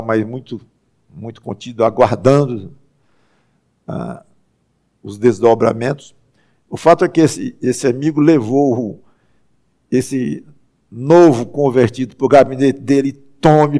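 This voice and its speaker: A man, preaching a conversational sermon as a pastor, halting and restarting often and slowing down for emphasis. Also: mid-range voice, darkish tone.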